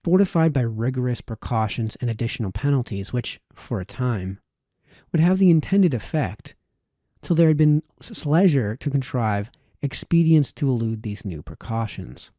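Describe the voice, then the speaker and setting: Dark, mellow-voiced man reading aloud. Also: mid-range voice, lively intonation, normal speed, no room sound, clean studio sound. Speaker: amateur reader of historical texts. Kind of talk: read-aloud of formal historical essay, audiobook style.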